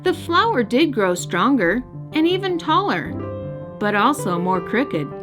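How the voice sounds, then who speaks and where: Animated woman storyteller over music. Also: fairly high pitch, unhurried. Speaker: children's storyteller. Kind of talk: expressive scripted storybook narration. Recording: music bed behind narration.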